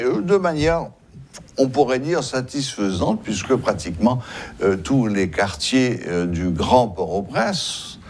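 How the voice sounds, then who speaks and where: A man hosting a TV talk show, speaking hesitantly with many pauses. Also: mid-range voice, darkish tone. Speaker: host.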